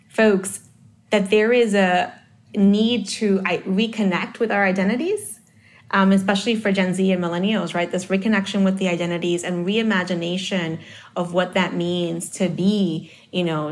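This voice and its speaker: Thoughtful woman with many fillers. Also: mid-range voice. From Dominican Republic. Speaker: marketing guest. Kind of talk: casual conversation.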